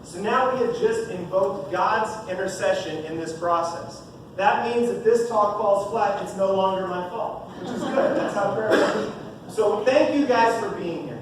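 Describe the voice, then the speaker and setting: A youth minister in his thirties with a booming voice gives a joking, upbeat talk to a room of parents. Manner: joking, upbeat, talks straight to the audience. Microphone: no mic, heard from far off. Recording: echoey room from far off, noisy.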